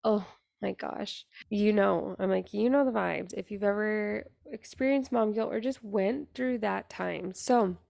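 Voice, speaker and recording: A young woman hosting her podcast, talking in a raspy voice with an earnest, chatty manner full of fillers. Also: medium pitch, unhurried, darkish tone, no room sound, studio quality. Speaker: podcast host. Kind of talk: casual solo podcast monologue.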